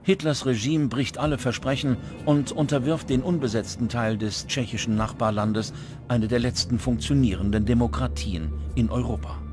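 A man's voice: mid-range voice, moderate pace. Male documentary narrator over music. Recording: music bed behind the voice.

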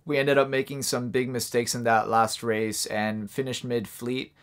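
Calm young man narrating a vlog. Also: mid-range voice, normal speed, heavy background noise. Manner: calm, matter-of-fact explaining. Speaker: vlog host and sailor. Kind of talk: scripted explanatory narration. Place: small room indoors.

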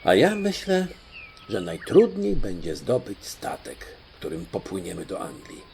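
A kindly doctor from a children's storybook, voiced by a man, thinking aloud with hesitant worry. The voice swings in pitch and has some gravel in it. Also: huge pitch swings, a little slow, darkish tone, a little hiss or hum, heavily acted. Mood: pensive worry. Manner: musing, hesitant. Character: kindly, pensive doctor fretting over travel plans.

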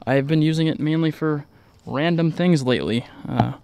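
Guy vlogging outside, smooth clear voice, chatty and kinda rambly, just showing stuff around. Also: a little high, normal speed, a little mellow. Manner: rambling, informal. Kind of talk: casual walkaround narration. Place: outdoors in a driveway beside a truck.

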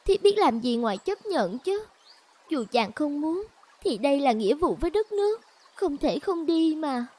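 A woman's voice: high-pitched, big pitch swings, moderate pace, mellow. A woman voicing a devoted, stubborn young village woman in love in a cartoon, earnest and pleading. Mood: worried, sorrowful. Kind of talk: cartoon voice acting.

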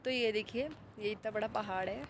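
A woman's voice: mid-range voice, fairly even intonation, a little fast, noisy background. A young woman with an Indian accent chatting casually to a phone camera outdoors, teasing and rambling with bits of English. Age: young adult. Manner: playful, teasing, rambling, mixes English into speech. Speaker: vlogger. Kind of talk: casual, joking vlog chat. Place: outdoors at a rocky hillside site. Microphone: phone at arm's length.

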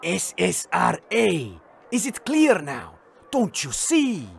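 Slow, swooping theatrical crackpot prophet played by a man. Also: high-pitched, huge pitch swings, creaky, husky, heavily acted. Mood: insistent. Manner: grandiose, drawn-out suspenseful delivery. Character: theatrical male crackpot treasure hunter revealing secrets. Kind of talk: comedy audio drama acting.

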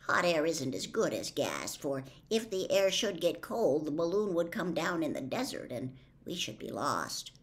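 A woman's voice: low-pitched, sing-song, moderate pace, few pauses. A woman in her sixties voicing a humble male wizard who admits to being a humbug, speaking in a mild, confiding way. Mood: earnest. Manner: mild, confiding, gently pleasant.

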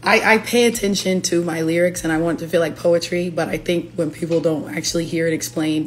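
A young woman, a singer-songwriter, chatting playfully on a livestream from her living room, her voice raspy from allergies. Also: on the low side. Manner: chatty, playful, breaks into lyrics. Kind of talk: casual livestream chat. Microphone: phone camera at arm's length.

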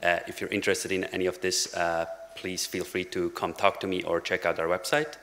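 A man's voice: deep voice, little pitch movement, brisk, vocal fry, fairly bright tone. Man, conference speaker, casual with fillers, long reverb of a large hall. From Switzerland. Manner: casual, frequent "uh" fillers, dry jokes. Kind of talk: technical conference talk. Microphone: lectern microphone.

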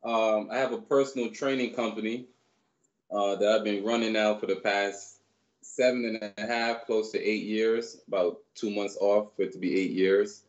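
A man in his thirties from Barbados, raised in New York, telling his story as a guest in a relaxed, rambling way on a video call. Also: lowish voice, moderate pace, muffled recording. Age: 30s. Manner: relaxed, rambling, many fillers. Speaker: guest, personal trainer. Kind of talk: casual storytelling interview. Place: small room indoors. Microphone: video call webcam.

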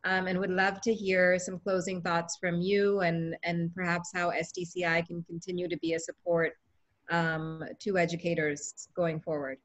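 A woman's voice: lowish voice, level tone, moderate pace. A woman moderating a webinar panel, thanking speakers politely over a video call.

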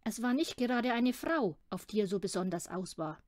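A woman's voice: mid-range voice, animated, moderate pace, darkish tone, no room sound, clean studio sound. A woman reading a children's audiobook, narrating the story expressively. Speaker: audiobook reader.

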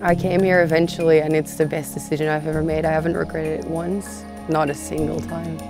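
Woman late 20s, low flat voice, music behind. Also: fairly quick. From Belgium. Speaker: volunteer coordinator. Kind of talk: documentary interview.

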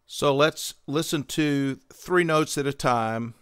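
Slow, smooth-voiced man presenting a tutorial. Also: on the high side, fairly bright tone, dry recording, clean studio sound, soft background music. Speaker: host of a synthesizer tutorial. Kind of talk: explanatory product tutorial narration.

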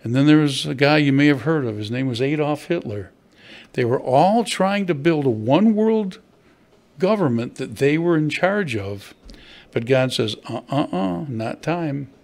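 A man's voice: a little high, animated, normal speed, creaky, a little mellow. Older man, pastor, sermon, church hall pulpit, stand mic, rhetorical questions. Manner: conversational preaching with rhetorical questions.

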